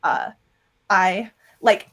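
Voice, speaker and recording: Woman chatting in an interview about her work, rambly, explains stuff with little quoted asides, mid pitch. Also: fairly even intonation, drawn-out words, bright, forceful tone. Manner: rambling, with self-quoting asides. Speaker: interview guest, audio drama producer and voice actor. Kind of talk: casual explanatory interview talk.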